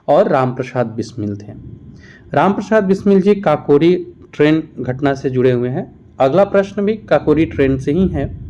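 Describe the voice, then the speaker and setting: Mellow, higher-pitched man teaching. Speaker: teacher, exam-prep instructor. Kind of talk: question-and-answer history lesson, explanatory. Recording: dull, muffled lo-fi sound.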